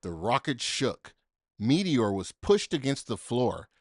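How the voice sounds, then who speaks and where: Animated man reading a children's storybook. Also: medium pitch, no room sound, clean studio sound. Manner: dramatic, deliberate phrasing with emphasis for young listeners. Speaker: storybook reader. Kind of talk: expressive children's story read-aloud.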